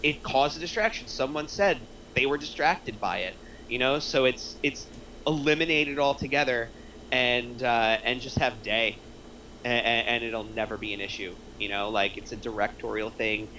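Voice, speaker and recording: A man's voice: mid-range voice, normal speed, bright, forceful tone, slight background noise, rough recording. A man giving casual, explanatory feedback in a group discussion, using examples as he goes. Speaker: script feedback panelist. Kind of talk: casual group critique of a screenplay.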